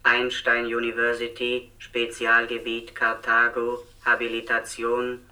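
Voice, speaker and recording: A man's voice: medium pitch, monotone, unhurried, pushed and bright, recorded from a distance, low-quality recording. A man playing an emotionless robot speaker in a German radio play, reciting data files in a clipped, mechanical way. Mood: impassive, mechanical.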